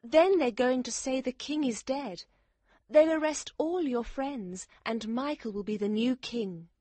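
A man voicing an anxious, lovelorn woman in an audiobook, breathy and nervously urgent. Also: very high voice, measured pace, dark tone, no echo, voice pushed up. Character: anxious, pale, lovelorn woman warning of danger. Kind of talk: audiobook character voice.

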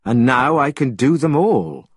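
A man's voice: medium pitch, animated, a little slow, slightly rough, no room sound, clean studio sound. A man voicing a young male monkey king, earnest and proud.

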